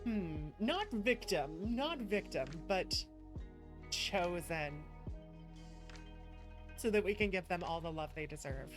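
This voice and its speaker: Slow-paced, lower-pitched woman livestreamer, music behind. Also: animated. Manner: effusive, affectionate, thankful to viewers. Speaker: streamer hosting a live stream. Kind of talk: casual livestream chat to viewers.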